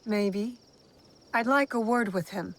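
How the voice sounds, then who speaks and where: A woman's voice: mid-range voice, slow, a bit bright. Resolute, wary warrior woman. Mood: guarded, firm. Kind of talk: video game acting.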